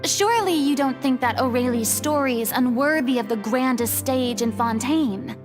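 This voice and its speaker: A woman's voice: sits high, normal speed, lightly acted. A woman playing a flamboyant, grandiose young noblewoman. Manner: grandiose, theatrical, laughing delivery. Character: flamboyant young noblewoman, theatrical and self-assured. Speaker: patron of a theater troupe. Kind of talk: video game voice acting. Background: game score music.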